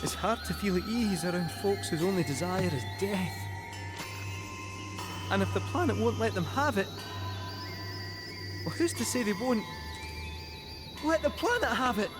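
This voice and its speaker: A wry little companion creature, with a lively, swooping delivery. It sounds cautious and folksy, a game character talking over loud music. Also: male voice, high voice, measured pace, pauses often. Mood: wary. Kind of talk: video game cutscene acting.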